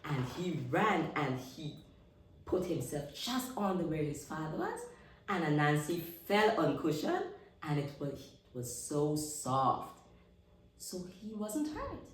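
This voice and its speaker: A woman telling a story expressively to young children, in simple, deliberate phrases with emphasis. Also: sits low, sing-song. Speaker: children's read-aloud storyteller. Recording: echoey room, distant mic, faint noise.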